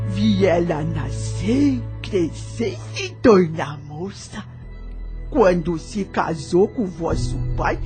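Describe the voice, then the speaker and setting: A Brazilian woman playing a wise, sorrowful elderly enslaved nanny in an audio drama, speaking slowly in folksy, old-fashioned words. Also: on the low side, a little mellow. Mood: gentle, wise.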